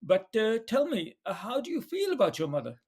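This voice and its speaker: A man with a high-pitched voice hosts a podcast interview. He asks his questions gently and pauses often on small hesitations. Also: huge pitch swings, husky. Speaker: podcast host.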